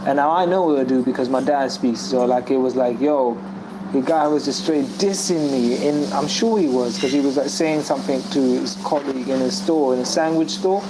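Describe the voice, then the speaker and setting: A man's voice: mid-range voice, normal speed. Rambling man telling a story, noisy backdrop. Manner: rambling, storytelling with frequent restarts. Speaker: discussion guest. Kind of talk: casual group discussion. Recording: audible background noise.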